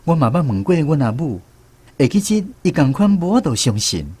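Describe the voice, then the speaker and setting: A man's voice: on the high side, very animated, normal speed, a little mellow, husky, dry recording. A man narrating a radio drama in the first person, reminiscing like a storyteller with a Taiwanese accent.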